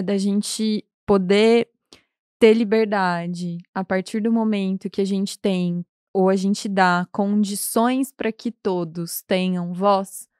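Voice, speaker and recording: A woman hosting a conversation speaks in a thoughtful, appreciative way. Her voice is smooth and clear. The sound is dry and close. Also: mid-range voice, darkish tone, no echo. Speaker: host. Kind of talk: conversational discussion.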